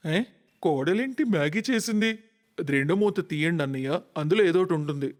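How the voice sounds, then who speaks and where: A man's voice: a little high, animated, moderate pace, in a large hall, close to an everyday voice. A man voicing a hungry older uncle in a story, with a familiar, exclaiming manner. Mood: surprised. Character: hungry older male relatives of the groom.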